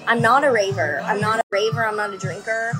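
A woman's voice: mid-range voice, fairly even intonation, over loud music. A young woman filming herself on a phone at arm's length in a holiday villa, chatting candidly and swearing freely. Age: young adult. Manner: candid, swears freely, drawn-out casual fillers. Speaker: vlogger. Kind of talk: casual vlog chat to camera. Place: holiday villa, indoors and on sunny terraces. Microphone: handheld phone at arm's length.

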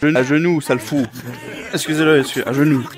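A man's voice: a little high, fairly even intonation, fast, a crowd in the background. A man improvising playful roleplay banter as a streamer, theatrical and joking, prone to sudden outbursts.

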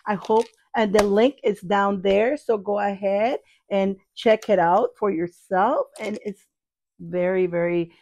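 A woman's voice: mid-range voice, steady tone, unhurried, darkish tone, dry recording. A middle-aged woman giving a casual, chatty product review in a playful, affectionate manner, often repeating her phrases. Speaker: video host reviewing products. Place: small cluttered room indoors.

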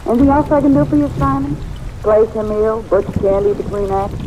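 An actress playing a sardonic, boozy former stage star with an arch, theatrical drawl in an old-time radio drama. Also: female voice, on the high side, brisk, background noise, natural voice. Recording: old radio broadcast under loud rain.

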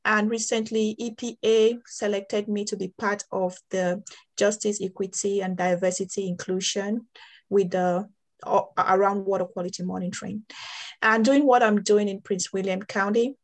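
A woman's voice: mid-range voice, fairly even intonation, normal speed. A woman from Cameroon, a water quality programs coordinator, speaking earnestly over a video call in a breakout discussion, with small restarts.

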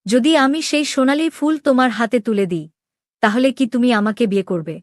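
A woman voicing a proud, lovestruck young princess in an animated story, questioning with emotion. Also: on the high side, a little fast, no echo, studio quality, close to an everyday voice. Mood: earnest, hopeful. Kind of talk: dramatic Bengali animated story dialogue.

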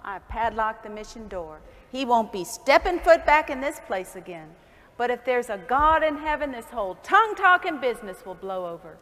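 Woman playing indignant, high-voiced stage churchwoman. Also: sing-song, moderate pace, a bit bright. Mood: scornful, resolute. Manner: exasperated, emphatic, theatrical. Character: indignant prim early-1900s churchwoman, scornful and stubborn. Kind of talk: stage play acting. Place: stage of a large theatre hall. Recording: echoey theatre hall.

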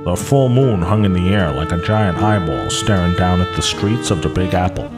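An actor playing a hard-boiled, wisecracking private eye, narrating a mock radio noir in a deadpan, clipped tough-guy way. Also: deep voice, normal speed, close to an everyday voice. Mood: deadpan, world-weary. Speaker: detective narrator. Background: music.

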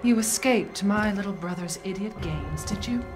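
An actress playing a haughty, menacing aristocratic mother in a video game, theatrical and condescending in her drawn-out delivery. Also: medium pitch, lightly acted. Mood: amused, condescending. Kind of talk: dramatic video game acting. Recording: game cutscene with music behind.

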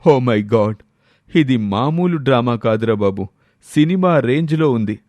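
A man's voice: mid-range voice, animated, a little slow, a little mellow, dry recording, lightly acted. A man voicing a curious older male friend in a dramatised story, bantering and exclamatory. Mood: astonished.

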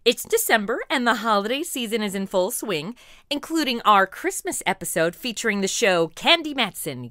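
American female podcast host, perky and slangy, very lively intonation, dry close sound. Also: fairly high pitch, dry recording. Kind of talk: scripted, playful podcast interview.

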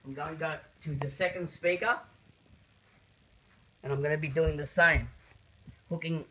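A man giving a step-by-step practical demonstration in a deliberate, halting way, repeating his phrases, picked up by a phone camera in a small room. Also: fairly high pitch, fairly even intonation, pushed and bright, slight background noise. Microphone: phone camera held nearby. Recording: muffled phone video sound.

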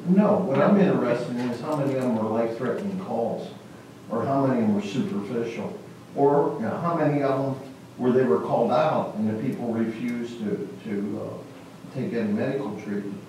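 A man raising comments and questions deliberately as a council member at a meeting. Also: mid-range voice, warm tone. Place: small council chamber, indoors. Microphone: distant camera microphone. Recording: echoey, noisy room from far off.

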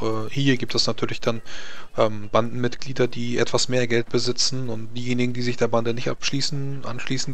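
A man improvising in character as a tabletop game master, explaining things in a halting way. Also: medium pitch.

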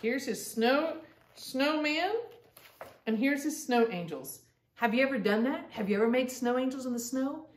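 A woman's voice: high-pitched, lively intonation, moderate pace. Echoey middle-aged woman reading to children. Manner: addresses young listeners directly, with simple explanations and questions. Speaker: storytime host reading to grandchildren. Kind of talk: children's picture-book read-aloud with chatty asides. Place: living room at home, indoors. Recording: roomy echo, distant microphone.

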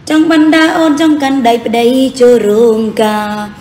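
A woman's voice: on the high side, steady tone, measured pace, dark tone, soft background music. A woman chatting casually and teasingly during a home lunch. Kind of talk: casual chat while eating. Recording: echoey room with clinking cutlery. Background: cutlery and dishes clinking.

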